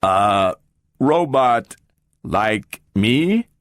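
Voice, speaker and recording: A man doing a slow, booming flat robot. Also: dry recording, clean studio sound. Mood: flat mechanical. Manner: slow, flat, without intonation.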